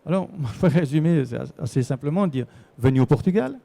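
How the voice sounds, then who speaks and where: A man's voice: on the high side, animated, fast talker, vocal fry, mellow, no echo. A man with a Swiss French accent explaining things as a guest expert in a live interview, often repeating words as he searches for the next. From Switzerland. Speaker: guest expert on relocating to Portugal.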